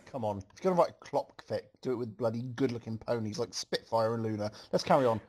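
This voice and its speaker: Guy reading a fanfic out loud to his group, amused, jokey asides, medium pitch. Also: animated, quick pace. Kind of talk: reading a story aloud with joking asides.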